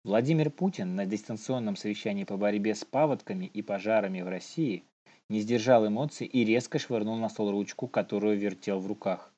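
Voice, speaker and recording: Low, mellow-voiced man, slightly muffled. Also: a little fast. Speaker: podcast co-host. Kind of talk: casual storytelling and news reading.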